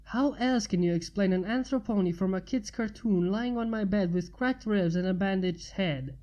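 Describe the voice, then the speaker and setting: A woman's voice: mid-range voice, normal speed, mellow, lo-fi sound, lightly acted. A woman voicing a stressed, urgent woman writer with a secret in a story reading. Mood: exasperated. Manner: urgent, hissing and pleading.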